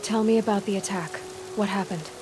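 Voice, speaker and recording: A woman's voice: mid-range voice, a little fast, close to an everyday voice. Woman acting a dry, guarded young huntress over music. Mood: resolute. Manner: dry, guarded, plain-spoken. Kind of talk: video game cutscene acting.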